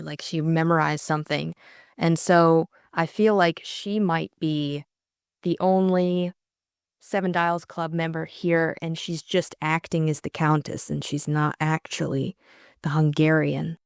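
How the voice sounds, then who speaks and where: A woman's voice: sits low, steady tone, measured pace, creaky, clean tone, no room sound, clean studio sound. A young adult woman co-hosting a casual discussion of a classic novel. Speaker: audiobook co-host.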